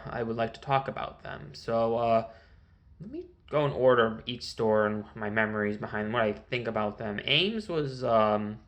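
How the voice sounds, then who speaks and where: A man from Connecticut in his thirties, rambling through a casual solo monologue with many fillers and restarts. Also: fairly low pitch. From Connecticut, USA. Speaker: vlogger.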